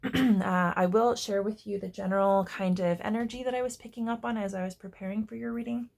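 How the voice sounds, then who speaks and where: A woman giving a casual tarot reading to her viewers, congested and hoarse, chatty and often stopping to search for words. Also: medium pitch, steady tone, brisk, darkish tone. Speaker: tarot reader.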